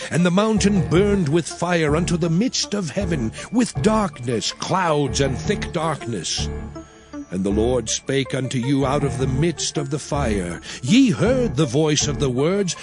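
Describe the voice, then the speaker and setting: Slow, solemn commanding biblical prophet. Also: male voice, a little high. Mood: grave, commanding. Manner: solemn, oratorical, measured, emphatic. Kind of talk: dramatized scripture reading. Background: music.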